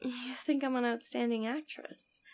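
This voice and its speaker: A woman acts out a demon queen with a high-pitched voice. She speaks theatrically and intimately, choosing each phrase with deliberation. It is a scripted ASMR roleplay. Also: fairly even intonation, normal speed, darkish tone, lightly acted. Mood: tender, concerned. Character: demon queen, imperious and possessive, secretly tender lover.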